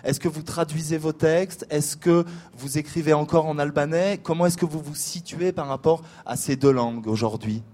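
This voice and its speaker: A man with a fairly high voice moderates a literary panel. He introduces each guest at length and then asks his questions. His delivery is measured and dotted with small hesitations. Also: darkish tone. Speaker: host.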